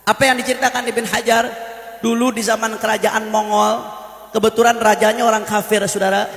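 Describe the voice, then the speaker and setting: A man preaching a fiery religious sermon, often shouting and calling out to the crowd. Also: sits high, level tone, fairly quick. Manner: impassioned, shouting, repeatedly addresses the crowd. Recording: echoey hall, long reverb.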